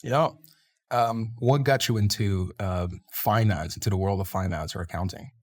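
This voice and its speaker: A man's voice: on the low side, normal speed. A man hosting a podcast, asking a guest curious questions. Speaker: podcast host. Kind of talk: podcast interview.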